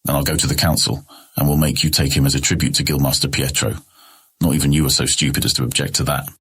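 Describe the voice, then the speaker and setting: An actor playing a scheming, condescending noble brother who mocks his younger sibling with cold sarcasm in a husky voice. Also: rumbling low, level tone, fast, a bit bright, exaggerated voice. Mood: scornful. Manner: smug, mocking, coldly sarcastic. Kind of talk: audio drama acting.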